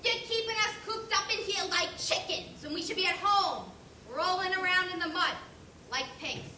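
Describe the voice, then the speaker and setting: A woman's voice: very high voice, normal speed, bright, forceful tone, a little hiss or hum. A woman playing a bossy, scornful pig juror who scolds in disbelief in a stage play. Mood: indignant. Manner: incredulous, scolding. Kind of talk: school stage play acting. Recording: echoey, distant stage recording.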